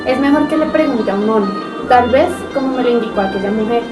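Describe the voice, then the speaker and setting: Woman acting a puzzled high-voiced young traveller. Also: brisk, close to an everyday voice. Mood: searching. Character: puzzled young female traveller, polite and searching. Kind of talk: amateur radio drama acting. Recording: echoey, distant, loud music behind.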